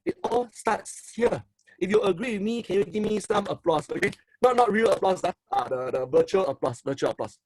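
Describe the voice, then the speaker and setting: Singaporean English male coach, middle-aged, slightly high voice, dry close sound, punchy and repetitive, lots of "okay?". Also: lively intonation, brisk, a little mellow, slightly rough, no room sound, low-quality recording. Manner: emphatic, repeats phrases, asks for likes and applause; tags sentences with "okay". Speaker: motivational speaker and coach. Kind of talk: online seminar talk.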